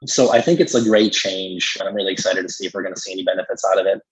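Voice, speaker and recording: A man with a fairly low, slightly husky voice talks like an expert in a podcast conversation. He explains things in a measured, informal way, often adding "you know." The recording is a little dull and lo-fi. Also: fairly even intonation.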